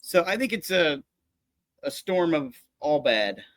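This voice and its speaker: A man's voice: a little high, very animated, unhurried, a bit bright, no echo. A man chatting casually about politics, rambling and restarting his sentences, dropping into mock voices for jokes.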